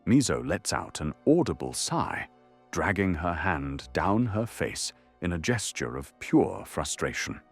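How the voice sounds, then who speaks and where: A man's voice: low voice, very animated, unhurried, slightly rough, soft background music. A man narrating an audio drama in a dramatic, scripted storytelling style.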